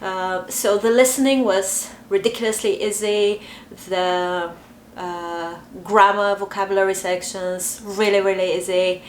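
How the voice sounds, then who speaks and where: A young adult woman with a Bulgarian accent, talking casually to camera and pausing often with fillers. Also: mid-range voice, little pitch movement, slight background noise. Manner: chatty, hesitant, many fillers. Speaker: vlogger. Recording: echoey room, faint ambience.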